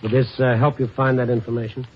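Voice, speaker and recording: An actor playing a wry, curious, unflappable investigator, with brisk colloquial lines and narration in an old radio drama. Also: male voice, mid-range voice, flat delivery, natural voice. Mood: wry, probing. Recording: noisy, muffled old radio recording.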